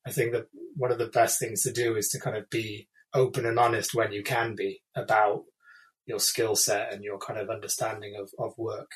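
A man's voice: fairly low pitch, level tone, normal speed, low-quality recording. Hesitant British-raised man, thoughtful. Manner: thoughtful, hesitant, many fillers and restarts. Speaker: illustrator, guest. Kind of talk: casual interview chat.

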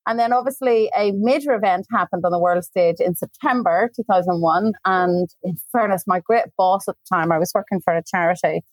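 Woman, forties, Belfast accent, chatty, medium pitch, dry sound. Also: no echo, low-quality recording. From Northern Ireland. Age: 40s. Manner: chatty, talks at length with asides. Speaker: guest, tour company founder. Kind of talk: casual conversation. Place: home.

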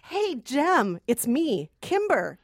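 A woman playing an excitable teenage girl, a devoted sister, in a spoof radio play. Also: sits high, slow pace, no echo, studio quality, pitched above the performer's own voice, heavily acted. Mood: excited, pleading.

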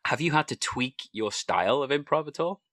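A man from Wales hosting a relaxed interview, asking open questions in an encouraging way. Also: mid-range voice, brisk, dry recording, clean studio sound. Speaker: podcast host and primary school teacher.

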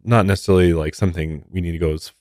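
Very deep-voiced American man, dry sound. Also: fairly even intonation, very fast, a little mellow, dry recording. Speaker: podcast host. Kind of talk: true crime podcast discussion.

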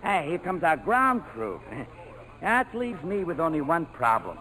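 Cocky comic sidekick, high voice, swooping slangy delivery, vintage radio play. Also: male voice, very animated, measured pace, sharp tone, full character voice. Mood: wisecracking. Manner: slangy, clowning, sarcastic. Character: brash, wisecracking, girl-chasing airman sidekick. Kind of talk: 1940s radio drama acting. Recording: noisy old 1940s radio broadcast.

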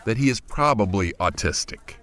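A man's voice: fairly low pitch, animated, normal speed, fairly bright tone, slight background noise. A man narrating a television news feature in a scripted storytelling way. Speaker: news correspondent.

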